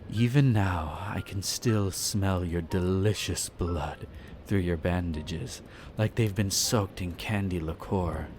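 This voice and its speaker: A man performs an aristocratic male vampire in a roleplay audio drama, over background music. He draws out his words with theatrical pauses, his pitch sweeping up and down. The manner is formal and condescending, flirtatious yet possessive, like a master addressing his pet. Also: very animated. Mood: hungry, teasing. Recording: music behind the voice.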